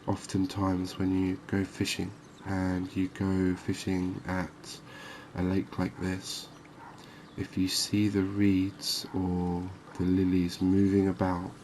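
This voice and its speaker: A man giving calm, unhurried ASMR-style commentary on a fishing game, explaining things as he plays. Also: low-pitched, a little hiss or hum. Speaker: gaming video host.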